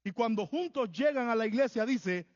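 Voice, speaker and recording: A man's voice: extremely high pitch, lively intonation, moderate pace. A man preaching a sermon as pastor of a church, earnest and emphatic, repeating phrases to drive his points home. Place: church sanctuary. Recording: long hall reverb, dull lo-fi sound.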